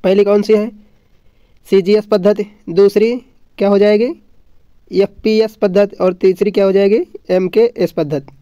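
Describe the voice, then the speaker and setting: A man's voice: high voice, fairly even intonation, moderate pace, mellow, clear, resonant tone. A man teaching an exam lesson from notes, didactically repeating points and answering his own questions. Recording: dull lo-fi, roomy echo.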